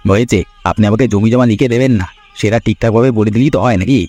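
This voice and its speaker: A man's voice: fairly low pitch, rapid-fire, warm tone, no echo, soft background music, natural voice. A man voicing a greedy, pushy younger village schemer in a cartoon fairy tale, insistent and needling. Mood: pushy, impatient.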